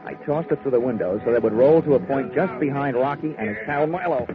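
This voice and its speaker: A man's voice: mid-range voice, fast, natural voice. An actor playing a wry, breezy male insurance investigator, narrating in 1940s radio detective style. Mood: wry narration. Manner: wry, deadpan humour. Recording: dull old radio recording with music.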